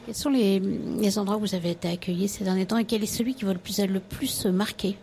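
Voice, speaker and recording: A woman's voice: medium pitch, fairly even intonation, fast, vocal fry, a little mellow, slight background noise. A woman interviewing a guest on the radio, asking questions in a polite, formal way.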